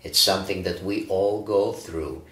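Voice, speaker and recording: A man in his forties from Ukraine, a breathwork teacher, answering interview questions in a calm, reflective way with frequent fillers. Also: low voice, a little slow. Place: bright studio room, indoors. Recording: roomy studio echo.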